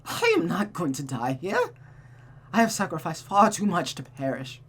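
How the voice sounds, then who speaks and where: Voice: medium pitch, wildly swooping pitch, moderate pace, fairly bright tone, breathy edge, voice pushed up, exaggerated voice. A streamer as a proud, wrathful ancient being. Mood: resolute. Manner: emphatic, declamatory. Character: centuries-old powerful being, proud, defiant and wrathful.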